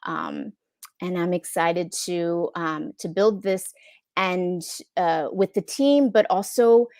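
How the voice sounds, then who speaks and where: Middle-aged american lady answering on a video panel, bit of vocal fry, pretty dry sound, earnest. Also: fairly low pitch, takes it slowly, darkish tone, dry recording. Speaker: film impact producer, guest. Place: indoor room, on a video call.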